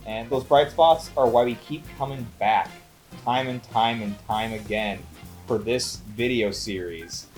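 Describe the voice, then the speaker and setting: A man's voice: medium pitch, measured pace, a little mellow, soft background music. A man co-hosting a casual, conversational film review show. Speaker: co-host of a movie review show.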